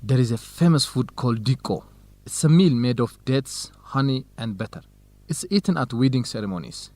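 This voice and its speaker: An actor playing a helpful, knowledgeable young researcher, explaining things in simple sentences in an educational radio drama. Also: male voice, mid-range voice, lively intonation, normal speed, dark tone, no echo, lightly acted.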